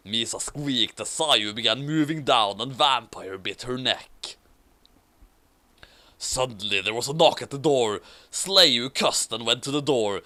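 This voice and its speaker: A man reads a silly fanfiction aloud in a mock-dramatic manner. His voice is bright and expressive, with a close, dry sound. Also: mid-range voice, dry recording. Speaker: story reader. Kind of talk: comedic fanfiction read-aloud with asides.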